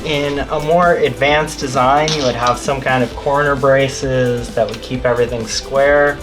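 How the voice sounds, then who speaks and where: A man's voice: mid-range voice, fairly even intonation, measured pace, loud music behind the voice. A man giving a casual, easygoing hands-on tutorial as the host of a DIY build video. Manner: informal, easygoing.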